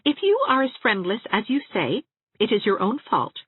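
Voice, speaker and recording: Eager young teacher read by a woman, husky and tinny, phone-like sound. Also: mid-range voice, expressive, moderate pace, dry recording, pitched above the performer's own voice. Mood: frank. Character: earnest, frank young woman schoolteacher.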